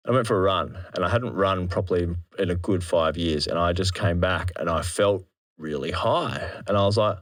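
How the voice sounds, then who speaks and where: An Australian man chatting casually as an interview guest, retelling things in an animated, slangy, sweary way and acting out his thoughts. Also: sits low, moderate pace. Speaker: interview guest, drum and bass MC. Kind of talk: casual anecdotal chat.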